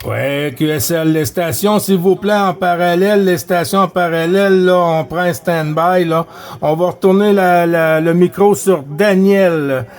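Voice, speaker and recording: A man's voice: high-pitched, unhurried. A man with a Quebec French accent running a CB radio session, speaking courteously in operator jargon. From Quebec, Canada. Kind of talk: CB radio contact chat.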